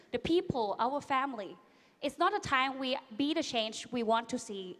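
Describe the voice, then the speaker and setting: Young female student leader from Thailand, higher voice, fervent, hall reverb. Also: fairly bright tone. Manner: impassioned, rallying. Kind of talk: audience comment at conference. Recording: echoey conference hall.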